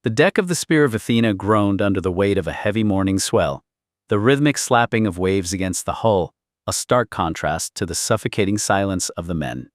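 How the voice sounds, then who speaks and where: Male narrator for historical fiction audiobook: solemn, literary, dramatic, slightly deep, dry studio-like sound. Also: animated, fairly quick, dry recording.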